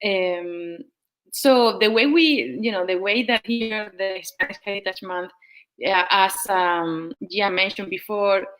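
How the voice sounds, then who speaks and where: A woman with a bright, crisp voice speaks as a panel guest over a video call. She talks haltingly, often restarting as she searches for the right word. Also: mid-range voice, low-quality recording. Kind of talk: casual panel chat.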